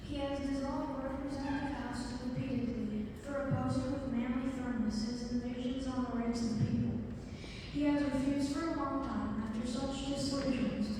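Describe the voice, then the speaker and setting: A woman with a fairly high voice reads a formal historical text aloud. She sounds far from the microphone in a hugely echoing, noisy hall. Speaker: reader at a public event. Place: large echoing hall at a statehouse.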